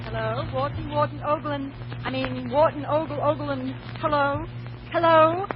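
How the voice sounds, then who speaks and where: A woman's voice: sits high, fairly even intonation, normal speed, lightly acted. An actress playing a scatterbrained, polite and naive young switchboard operator, earnest and easily flustered, in the style of a 1940s radio comedy. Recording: muffled, noisy old radio broadcast.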